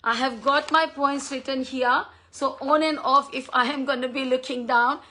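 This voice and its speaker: A woman in her fifties from India, whose first language is Hindi, talking earnestly on a live stream, often pausing on um and uh. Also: high-pitched, steady tone, bright, forceful tone. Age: 50s. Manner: earnest and thankful, with frequent ums and uhs. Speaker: host of a live talk. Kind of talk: personal talk on a social media live stream. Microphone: phone or computer mic on a live stream.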